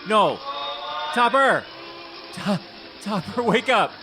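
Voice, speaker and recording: A man's voice: high-pitched, expressive, slow pace, over music. An actor playing a desperate talent scout in an audio drama, a devoted family man who repeats himself when shaken. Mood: frantic, pleading. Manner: frantic pleading, repeating words.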